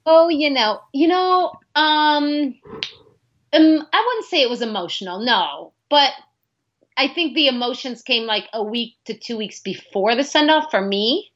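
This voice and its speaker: A woman's voice: high-pitched, unhurried. Female podcast guest, thirties, originally from Lebanon, non-native English speaker, candid and informal, on a slightly muffled mic. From born in Lebanon, moved to America at ten. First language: not English. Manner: candid, informal, animated quoting of own thoughts. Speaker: podcast guest, nonprofit founder. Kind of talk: casual conversational interview.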